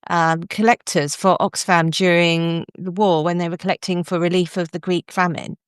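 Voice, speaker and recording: A woman's voice: lowish voice, level tone, moderate pace, clear, resonant tone, no room sound, clean studio sound. A woman hosting a podcast, introducing and chatting with her guest. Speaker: podcast host and interviewer. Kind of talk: podcast introduction and interview chat.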